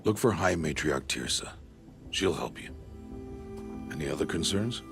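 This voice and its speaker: Stoic older hunter, extremely low voice, gravelly, grave and measured, fatherly, video game drama, clear music behind. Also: animated, full character voice. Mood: calm, reassuring. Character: stoic older outcast hunter, gruff fatherly mentor, solemn, tender.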